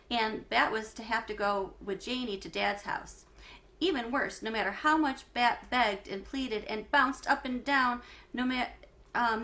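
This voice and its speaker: A woman with a very bright, forward voice reads a children's story aloud in a teacherly way. Her pitch sits a little high, and she phrases expressively, leaning on the important words. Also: creaky. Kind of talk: read-aloud storytelling for schoolchildren.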